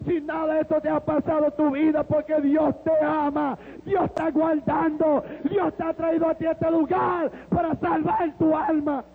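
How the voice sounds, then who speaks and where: A fervent, hulking convert preaches to a hardened gangster, as a man puts him on, slow and pleading, then rising to full shouts. The voice sits very high for a man. The sound is thin and tinny, with reverb trailing through a large hall. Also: level tone, creaky. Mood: earnest. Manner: slow, emphatic, shouting at peaks.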